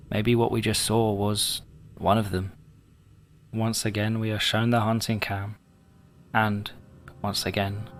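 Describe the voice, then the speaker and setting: A man narrating a scripted horror analysis video in a measured way, with pauses for effect. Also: fairly low pitch, vocal fry. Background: music.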